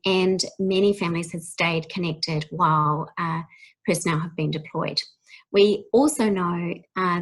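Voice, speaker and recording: A woman from New Zealand with a New Zealand accent, giving a measured academic webinar talk as a child development researcher. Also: on the low side, rough recording. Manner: measured academic, with frequent ums. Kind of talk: webinar presentation.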